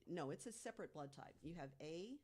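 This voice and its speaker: Low-voiced woman, deliberate storyteller. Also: expressive, warm tone. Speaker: podcast guest panelist. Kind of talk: conversational storytelling about mysteries.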